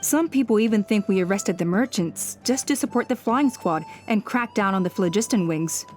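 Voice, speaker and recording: A woman's voice: medium pitch, lively intonation, moderate pace, darkish tone, faint music behind, close to an everyday voice. Woman as a cautious, serious game elder. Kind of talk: video game voice acting.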